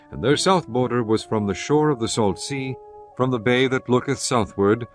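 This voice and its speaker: A man narrating scripture in a measured, steady reading voice. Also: fairly low pitch. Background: guitar music.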